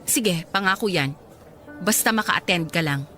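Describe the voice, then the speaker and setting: An actress playing an insistent, scheming bride who coaxes and pleads, in an emotional radio drama style. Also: female voice, lowish voice, measured pace, sharp tone, faint music behind. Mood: coaxing. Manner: coaxing, wheedling persistence. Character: insistent, scheming bride, pleading with her resentful half-sister.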